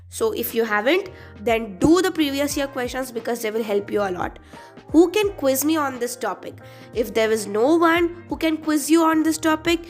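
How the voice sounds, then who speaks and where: A teenage girl with an Indian English accent talks through her study tips in a high, light voice. She sounds earnest and chatty, stretching out each list item as she goes. Faint music plays under her voice. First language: Telugu. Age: teens. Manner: earnest, chatty advice-giving, drawn-out list items. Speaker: student vlogger.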